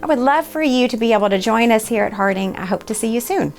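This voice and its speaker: A woman introducing herself as a speech pathology professor. Her voice has a gravelly fry, and her delivery is deliberate, with soft music behind. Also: a little high, animated. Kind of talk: scripted self-introduction.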